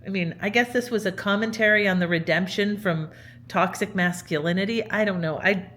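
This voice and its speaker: A woman's voice: mid-range voice, a little fast, a bit bright. A woman hosting with casual, candid, exclamatory commentary aimed straight at listeners. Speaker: host, presenter.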